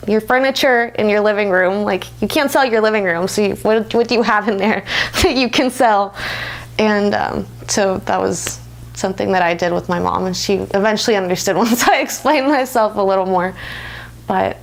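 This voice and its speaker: A woman in her twenties answers interview questions in an American accent. Her voice has noticeable vocal fry and sits at a medium pitch. She talks in an informal, reflective way. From United States. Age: 20s. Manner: informal, often laughs while talking. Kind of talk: reflective, conversational personal interview.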